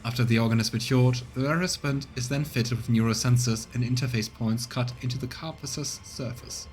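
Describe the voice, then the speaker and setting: A man's voice: mid-range voice, fairly even intonation, fairly quick, a little mellow. Male game streamer reading aloud over music. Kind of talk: let's play narration, reading dialogue aloud.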